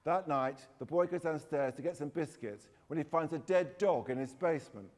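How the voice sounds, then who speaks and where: A man reads as an excitable young storybook boy spinning ghost tales. He is earnest and defiant, with long hall reverb around the voice. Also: on the high side, normal speed, close to an everyday voice. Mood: excited storytelling.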